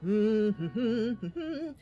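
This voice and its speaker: A woman's voice: medium pitch, big pitch swings, very slow, warm tone, highs cut off. Playful woman streaming a game over music. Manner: playful, giggly, chatty. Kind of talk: casual live-stream chat and role-play narration.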